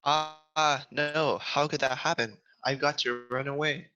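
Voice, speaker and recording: A man's voice: on the high side, steady tone, measured pace, pushed and bright. A man playing little storybook pig brothers in an amateur script read over a video call. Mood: frightened. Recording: muffled video call audio.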